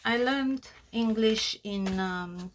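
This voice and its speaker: A woman with a strong Romanian accent, Romanian her first language, walking viewers through a craft project in halting speech with many pauses and fillers. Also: on the high side, slight background noise, rough recording. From Australia. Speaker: craft tutorial host. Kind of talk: crafting tutorial narration.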